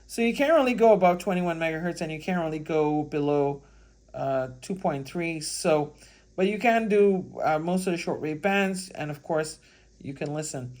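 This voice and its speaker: An American woman giving a casual hands-on gadget review, thinking aloud in a halting way. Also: sits low, darkish tone. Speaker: product reviewer.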